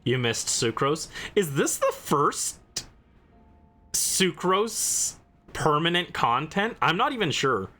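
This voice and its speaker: A man's voice: a little high, big pitch swings, slow pace, sharp tone. A man giving casual, playful, theatrical reactions as he livestreams a game.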